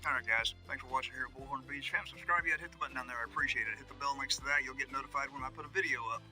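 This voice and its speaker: A man's voice: mid-range voice, very fast, sharp tone. A man giving a casual, folksy, conversational tour of his property outdoors, as a vlog host. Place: outdoors on wooded rural land. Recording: music behind the voice.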